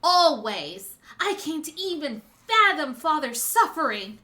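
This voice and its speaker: A woman's voice: medium pitch, animated, slow pace, bright, forceful tone, voice pushed down. A woman voicing a proud, grieving samurai's daughter, declaiming a comic's lines with impassioned, vengeful emphasis. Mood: bitter grief. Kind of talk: amateur dramatic reading of a comic's dialogue.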